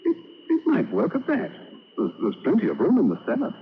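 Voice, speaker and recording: An actor in an old radio drama playing a greedy, nervous middle-aged husband and accomplice who stammers. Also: male voice, sits high, huge pitch swings, fairly quick, slightly rough, full character voice. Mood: scheming. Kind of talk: 1940s radio acting. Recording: tinny lo-fi old radio broadcast with faint hiss.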